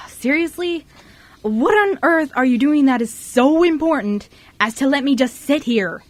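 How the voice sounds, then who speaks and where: A woman's voice: sits high, expressive, unhurried, no echo, pitched above the performer's own voice. A woman playing a bratty, snobbish, easily exasperated wealthy teenage girl in an amateur radio play. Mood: impatient.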